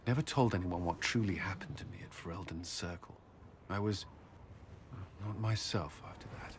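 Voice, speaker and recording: A man playing an earnest former Templar commander haunted by guilt, speaking haltingly and formally in a dramatic video game. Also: low-pitched, noisy background, close to an everyday voice. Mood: haunted, troubled.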